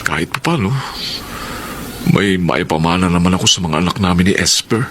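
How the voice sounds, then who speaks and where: A low-voiced, emotive younger brother. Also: male voice, fairly quick, fairly bright tone. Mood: ashamed, worried. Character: affectionate, debt-burdened younger brother of the mayor. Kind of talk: Filipino radio drama acting. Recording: clear background noise.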